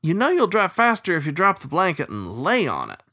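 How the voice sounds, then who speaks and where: A man voicing a cheerful, loud male beaver deckhand with a grinning, bellowing manner. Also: high-pitched, huge pitch swings, brisk, no room sound, clean studio sound, pitched above the performer's own voice, full character voice.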